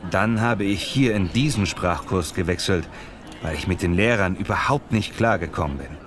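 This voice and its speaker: Mann spielt tiefer klingender, muskelstolzer Deutschlerner, draußen mit Straßengeräuschen. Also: male voice, low voice, normal speed, background noise, lightly acted. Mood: annoyed. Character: lazy, muscle-proud male German learner from Italy. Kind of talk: scripted language-lesson dialogue.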